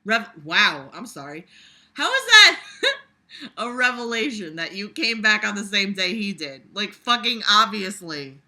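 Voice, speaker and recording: The voice is very bright and sharp, sitting at a middling pitch. It reads a book aloud casually, then reacts with exasperated, sarcastic commentary full of swearing. The delivery is animated and loose. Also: unhurried. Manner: animated, breaks into mocking imitations.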